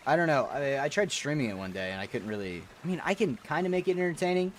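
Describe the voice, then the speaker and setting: A man's voice: a little high, sing-song, fast talker, slight background noise. A man streaming a game, chatting with viewers in a profane, rambling, ranting way. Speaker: gaming streamer. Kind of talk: casual rambling stream chat.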